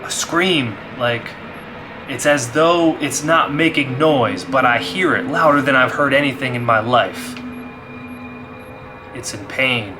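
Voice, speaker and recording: A man's voice: mid-range voice, slow pace, noisy background. A man who hosts a gaming channel, talking to his audience and telling stories of solo play. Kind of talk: dramatized horror story narration and casual review.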